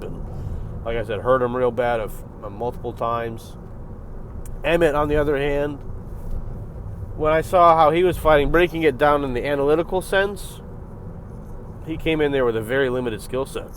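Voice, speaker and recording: A man hosting a reaction video, giving casual, profane commentary on a fight, jumping from loud bursts to low mutters. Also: a little hiss or hum.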